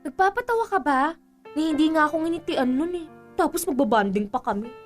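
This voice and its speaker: A teenage Filipino girl with a high, youthful voice. She is pouty and doubting, confiding in casual radio drama style over background music. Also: lively intonation, brisk.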